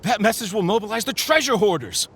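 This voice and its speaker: An excitable, high-voiced thief. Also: male voice, fast talker, a little hiss or hum. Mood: agitated. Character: excitable male treasure-hunting thief confessing to a scheme. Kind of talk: game cutscene voice acting.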